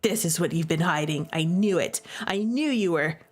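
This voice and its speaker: A woman's voice: lowish voice, animated, normal speed, soft background music, pitched below the performer's own voice. A woman voicing a sullen, angry teenage brother. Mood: angry, accusing. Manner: sullen, accusing.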